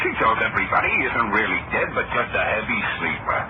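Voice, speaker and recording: An actor playing a brash, wisecracking police officer, scoffing and colloquial, in old-time radio drama style. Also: male voice, on the low side, fast, natural voice. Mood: scoffing, amused. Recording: muffled, very noisy old radio recording.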